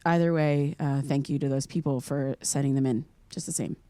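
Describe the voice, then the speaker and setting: An actress playing an earnest, curious young American podcaster in an audio drama, speaking in a conversational, slightly hesitant way. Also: female voice, extremely low pitch, fairly even intonation, a little fast, warm tone, no room sound. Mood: casual, candid. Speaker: podcast host character.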